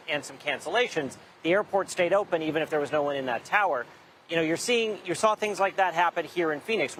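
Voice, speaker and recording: Higher-pitched man reporting live amid background noise. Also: a bit bright, low-quality recording. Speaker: transportation correspondent reporting live. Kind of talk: live news report, conversational explaining. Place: live remote at an airport.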